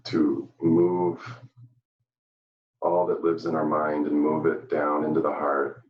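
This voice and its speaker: Very deep, slow-spoken man guiding meditation. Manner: gentle, soothing, with long pauses. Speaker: guided meditation leader. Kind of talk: live guided meditation. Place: indoor room, live virtual event. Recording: dull, roomy, distant microphone.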